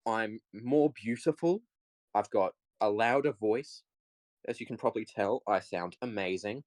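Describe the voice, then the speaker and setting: A man in his late teens co-hosting a podcast with jokey, deadpan, mock-boastful banter. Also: fairly low pitch, unhurried, no room sound, poor audio. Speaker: podcast co-host. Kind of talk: casual comedic banter.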